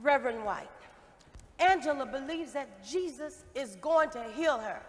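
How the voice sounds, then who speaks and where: A woman acts a fervent, folksy maid with a high voice. She speaks out slowly and emphatically in a stage musical, in a hall with long reverb. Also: expressive. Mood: earnest, firm. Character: devout, outspoken maid full of fervent faith. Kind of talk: musical stage play acting. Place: theatre stage.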